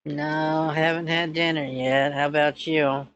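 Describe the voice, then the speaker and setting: A man's voice: fairly high pitch, little pitch movement, a little slow, a bit bright, clean tone, lo-fi sound. A man in his twenties, a scambaiter posing as a son, chatting casually in a hesitant, rambling way. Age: 20s. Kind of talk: casual phone chat.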